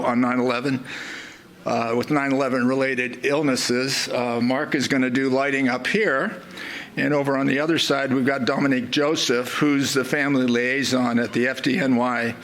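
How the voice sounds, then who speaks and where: A man hosting an event, speaking informally and reflectively to the audience in a halting way. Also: mid-range voice, fairly bright tone. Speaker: event host giving welcome remarks. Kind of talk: informal welcome speech to an audience.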